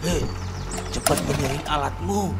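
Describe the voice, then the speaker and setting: Guy voicing a scared stuttery thug boss, nervous, kinda gravelly, music going. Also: fairly high pitch, measured pace. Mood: bossy. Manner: stammering, nervous. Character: timid, superstitious male henchman boss.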